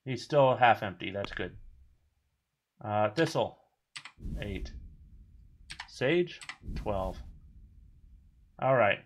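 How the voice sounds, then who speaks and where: A man giving casual, rambling commentary while playing a video game, with a booming voice. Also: lively intonation, extremely slow, long pauses, lo-fi sound, slight background noise. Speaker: streamer.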